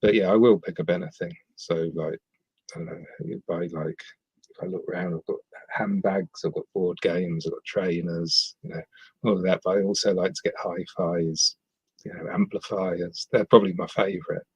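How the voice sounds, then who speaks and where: Husky low-voiced middle-aged man. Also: fairly even intonation, normal speed, no echo, low-quality recording. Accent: English, not Scottish. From West Midlands, England. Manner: hesitant, frequent ums and restarts. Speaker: podcast guest, reseller. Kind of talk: casual interview chat.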